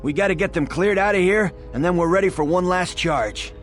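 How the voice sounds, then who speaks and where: A man's voice: sits high, brisk, slight background noise, close to an everyday voice. A man playing an earnest soldier under pressure on the front line in a video game. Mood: worried, earnest.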